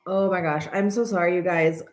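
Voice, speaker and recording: A woman in her late thirties, stuffy and nasal from allergies, chatting casually and rambling to her viewers while often clearing her throat. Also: on the low side, fairly even intonation, fairly quick, roomy sound. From American. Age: 30s. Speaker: channel host. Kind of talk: casual vlog chat.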